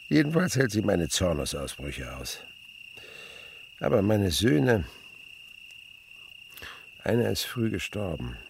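An actor playing an elderly, thoughtful and melancholy naturalist in a German radio play, musing and pausing as he speaks. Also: on the low side, creaky, a little hiss or hum, heavily acted. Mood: wistful, melancholy. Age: older. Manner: reflective, musing, hesitant pauses.

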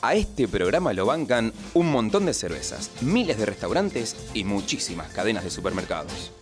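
A man reading a scripted station promo in a deep, mock-solemn announcer delivery. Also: big pitch swings, normal speed, music in the background.

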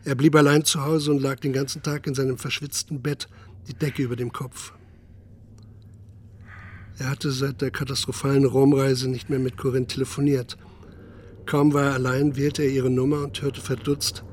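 Sober male narrator, medium pitch. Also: a little hiss or hum. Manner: sober, detached storytelling. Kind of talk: scripted literary narration in radio drama.

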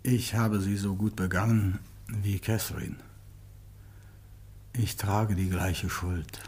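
A halting, anguished husband under questioning. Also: male voice, lowish voice, steady tone, darkish tone, breathy edge, full character voice. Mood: resigned, bitter. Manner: halting, agitated, defensive. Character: anguished husband confessing, protective of his wife. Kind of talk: German radio crime drama acting.

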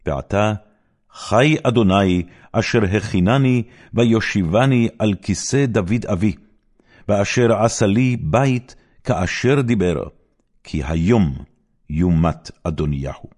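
Man voicing a forceful, husky young king. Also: lowish voice, expressive, pitched above the performer's own voice. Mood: stern, resolute. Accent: Israeli. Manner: forceful, solemn, declamatory. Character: stern, commanding young king of ancient Israel. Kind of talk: dramatic scripture reading.

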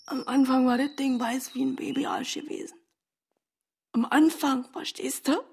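A high-pitched woman in a naturalistic radio play. She chats fondly and coarsely, reminiscing in easy slang. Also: steady tone, unhurried, vocal fry, darkish tone, husky, exaggerated voice. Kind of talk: naturalistic radio drama acting.

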